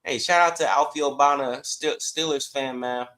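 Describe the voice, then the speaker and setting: A man's voice: medium pitch, fairly even intonation, normal speed, pushed and bright. A man with a mid-range voice talks sports as a podcast co-host. His delivery is casual and conversational, like talk between regulars on a show.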